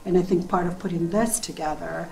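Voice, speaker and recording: A woman, a parent, speaking slowly and thoughtfully in a personal interview. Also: on the low side, steady tone, creaky, mellow, reverberant, low-quality recording. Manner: slow, searching, thoughtful.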